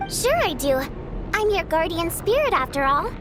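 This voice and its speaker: A woman voicing a chirpy, upbeat female guardian spirit who gives quick, urgent instructions in a game. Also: very high-pitched, big pitch swings, background noise. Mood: perky. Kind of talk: game voice acting. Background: game music.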